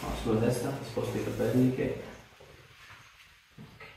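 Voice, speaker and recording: A man's voice: medium pitch, flat delivery, a little slow, mellow. A man, working as a chiropractor and giving short questions and instructions during an exam. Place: small bare treatment room, indoors. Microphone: camera a few feet away. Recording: echoey room, distant mic, faint noise.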